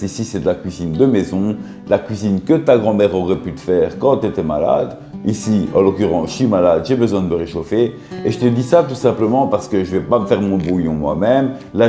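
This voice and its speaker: A man with a cold and a sore, hoarse throat, casually talking the viewer through simple home recipes with jokes along the way. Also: lowish voice, dark tone. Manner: joking, chatty, talks straight to the viewer. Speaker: cooking vlogger. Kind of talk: casual cooking video narration. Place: his bedroom. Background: guitar music.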